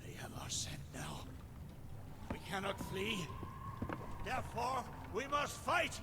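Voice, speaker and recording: A man's voice: extremely high pitch, animated, slow, very noisy, heavily acted. A man playing a stern ghostly ferryman of the dead in a video game, declaiming in solemn, archaic phrases. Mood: grave, foreboding. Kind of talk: video game character acting. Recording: loud game music behind the voice.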